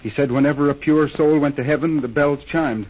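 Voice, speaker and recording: A man's voice: a little high, steady tone, brisk, a little mellow, slight background noise, natural voice. A man playing a troubled young war veteran in a 1930s radio drama, speaking in an earnest, hesitant, confessional way. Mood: earnest confessing. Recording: muffled 1930s radio recording.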